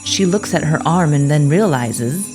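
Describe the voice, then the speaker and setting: A woman's voice: low voice, lively intonation, a little slow. A woman narrator telling a scripted story theatrically for an audio drama. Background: music.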